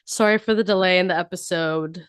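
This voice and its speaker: A woman's voice: fairly low pitch, a little fast, smooth. A woman co-hosting a casual podcast chat, talkative and laughing easily. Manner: chatty, laughing, animated. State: recovering from a head cold. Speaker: podcast co-host.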